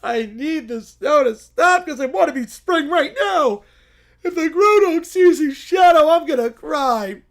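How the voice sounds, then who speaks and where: A bratty small child acted out by a woman whines in a high voice with big pitch swings. The child is weepy and petulant, complaining in cartoon-skit style. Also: animated, unhurried. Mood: whiny.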